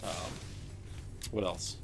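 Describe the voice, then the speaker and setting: A man's voice: takes it slowly, over music. Joking male streamer. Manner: joking, playful, loose banter. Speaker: stream co-host. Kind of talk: casual livestream chat.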